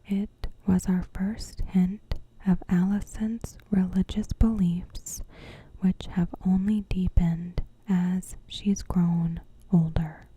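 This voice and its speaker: A woman softly whispering a scripted recap in a gentle, measured, breathy ASMR style. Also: fairly low pitch, steady tone, a little hiss or hum, rough recording. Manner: gentle, measured, careful phrasing. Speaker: ASMR host.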